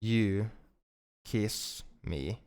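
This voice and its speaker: Man playing a slow, low-voiced, tender alien. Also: clean tone. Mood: tender, content. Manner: halting, repeats words, gentle. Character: shy, tender, awkward male alien in love. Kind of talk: intimate ASMR roleplay.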